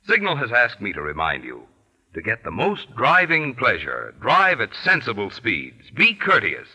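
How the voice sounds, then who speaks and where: A man working as a radio announcer, reading sponsor messages and credits in a formal, measured 1940s style. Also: mid-range voice, pushed and bright, slightly rough, low-quality recording. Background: music.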